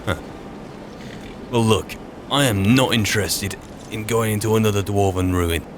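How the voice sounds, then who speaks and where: Low voiced guy roleplaying a wary wizard adventurer in a game, muttering short bits to himself, noisy ambience behind. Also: fairly even intonation, moderate pace. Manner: musing to himself in short phrases. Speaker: roleplaying gamer narrating in character as an adventurer. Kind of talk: in-character video game roleplay commentary. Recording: clear background noise, game ambience.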